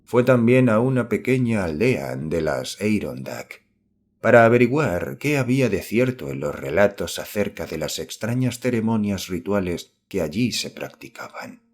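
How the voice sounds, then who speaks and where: A man reading a literary horror story as an audiobook narrator, in measured, formal scripted narration. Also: lowish voice, very animated, a little mellow.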